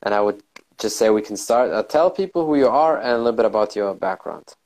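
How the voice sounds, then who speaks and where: A man's voice: lowish voice, lively intonation, a little fast, darkish tone. A man hosting a podcast interview, eager and full of compliments for his guest. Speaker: podcast host. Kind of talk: casual interview.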